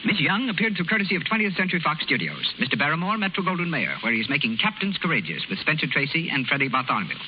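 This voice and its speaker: Brief: male 1930s radio announcer, brisk pace, fairly high voice, muffled recording. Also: big pitch swings, a little hiss or hum.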